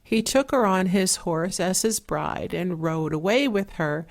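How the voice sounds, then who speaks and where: A soft-spoken woman narrating a fairy tale in a measured, relaxing way, with an 8D surround panning effect. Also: lowish voice, animated. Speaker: storyteller.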